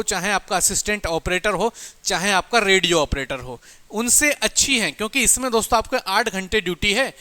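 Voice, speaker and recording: A man speaks as an exam coach. His voice is high and sharply bright. He talks informally but emphatically, repeating key points as he urges students on. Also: clean tone. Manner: emphatic, repetitive, exhorting, addressing listeners as brothers and friends. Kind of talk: informal exam update and motivational coaching talk.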